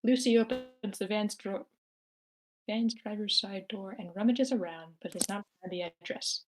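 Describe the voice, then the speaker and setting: A woman's voice: medium pitch, steady tone, normal speed, slight background noise, rough recording. A woman narrating screenplay action lines aloud at an amateur table read, in a plain manner with occasional stumbles. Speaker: stage-direction narrator.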